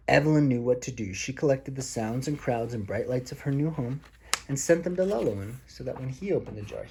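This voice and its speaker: A man's voice: medium pitch, warm tone, slight background noise. A man reading a picture book aloud to a child as a father, patiently explaining things along the way. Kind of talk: storybook read-aloud with casual asides to a child.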